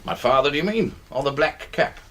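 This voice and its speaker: An actor playing a pompous, needling older British colonel in a radio drama, with clipped, old-fashioned phrasing. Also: male voice, on the high side, expressive, a little fast, bright, forceful tone. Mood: genial, needling.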